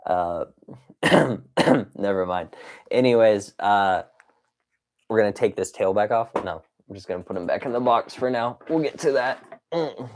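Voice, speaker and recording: A man's voice: fairly low pitch, unhurried, pauses often. A man hosting a casual livestream, chatting playfully with viewers, reading messages aloud and wandering into exclamations and asides. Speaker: livestream host of a toy dinosaur collecting channel.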